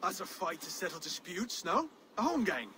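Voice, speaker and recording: High-voiced, earnest young lordling. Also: male voice, moderate pace, exaggerated voice. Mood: earnest, nervous. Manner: genteel, wordy, hesitant, excitable. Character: soft, earnest, hesitant Saxon would-be king, good-humoured. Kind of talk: video game cutscene acting. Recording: game ambience, clear background noise.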